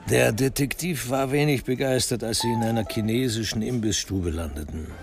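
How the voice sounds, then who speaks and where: A man narrating a radio crime drama in a measured, literary storytelling style. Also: medium pitch, music in the background.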